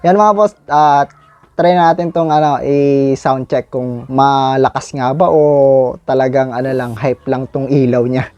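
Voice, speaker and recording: A man chatting casually to viewers in a halting, informal product review. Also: mid-range voice, fairly even intonation, darkish tone, no room sound, lo-fi sound. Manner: informal, halting, repeats catchphrases to address viewers. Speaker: vlogger reviewing gear.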